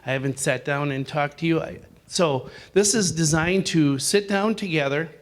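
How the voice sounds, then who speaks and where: A man's voice: fairly high pitch, normal speed. Rambling man leading a group discussion. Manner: rambling, with many fillers and restarts. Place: meeting room.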